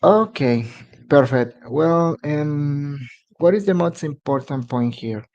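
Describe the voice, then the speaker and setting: A man from Mexico, a native Spanish speaker with a Mexican accent, explaining immigration steps on a live stream in halting English full of fillers. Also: a little high, animated, a little mellow, highs cut off. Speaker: immigration advisor.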